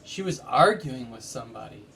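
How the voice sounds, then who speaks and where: A man's voice: medium pitch, expressive, moderate pace, creaky, fairly bright tone, rough recording. A man voicing an eager, sometimes sleepy-headed young boy in a children's storybook mystery. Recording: noticeable background noise.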